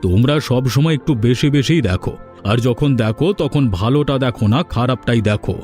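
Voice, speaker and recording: A man's voice: medium pitch, fairly quick, a little mellow, natural voice. A man voicing a grumpy, malicious village gossip in a cartoon, irritable and condescending. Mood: irritated. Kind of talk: cartoon voice acting. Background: faint music.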